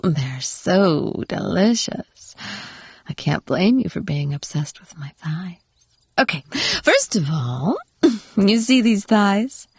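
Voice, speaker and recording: A woman playing a doting, possessive, teasing wife in an intimate audio roleplay, cooing in a breathy voice that often drops toward a whisper. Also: lowish voice, very animated, no echo, full character voice. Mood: coaxing, pleased. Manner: coaxing, cooing pet names, unhurried murmuring.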